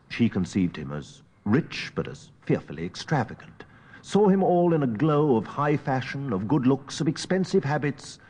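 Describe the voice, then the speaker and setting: An actor playing an educated Victorian gentleman, recounting a haunting memory in a measured, theatrical audiobook narration with weighty pauses. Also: mid-range voice, breathy edge, highs cut off. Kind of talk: dramatic literary audiobook narration.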